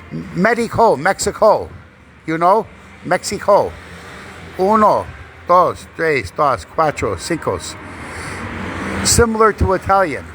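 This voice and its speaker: A talkative man rambles slowly, his pitch swinging up and down as he repeats words with loose grammar. He sits outdoors by a street, and traffic noise comes through clearly. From Canada. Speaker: vlogger. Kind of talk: casual rambling vlog. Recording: noisy outdoor street recording.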